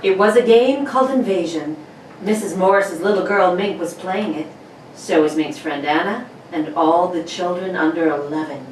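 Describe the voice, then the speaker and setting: A woman's voice: fairly low pitch, moderate pace. Woman narrating in a roomy space. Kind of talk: scripted radio story narration. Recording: roomy, distant mic, faint noise.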